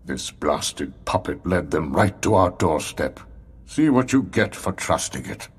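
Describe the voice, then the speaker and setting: A man voicing a cold, bitter, scornful character in a video game, with a husky voice. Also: sits low, very animated, measured pace, full character voice. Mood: scornful alarm. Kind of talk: video game cutscene voice acting.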